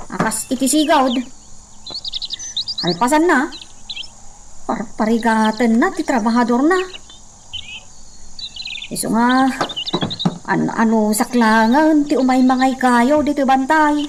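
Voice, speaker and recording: A woman's voice: sits high, a little slow, long pauses, noisy background. A woman acting a commanding, spirited hacienda woman in an Ilocano radio drama. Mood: weary, musing.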